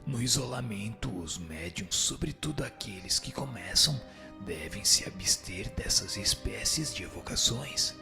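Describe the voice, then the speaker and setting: A man's voice: fairly low pitch, animated, a little slow, vocal fry. A man with a Brazilian accent playing a solemn, instructive spirit in a radio drama, answering in formal language. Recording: music under the voice. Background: background music.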